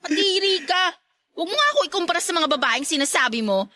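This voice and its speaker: A woman's voice: extremely high pitch, animated, fast talker, full character voice. A woman acting a feisty, disdainful young woman in a radio drama, scolding and haughty as she rebuffs a suitor. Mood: annoyed. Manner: scolding, haughty, sharp-tongued.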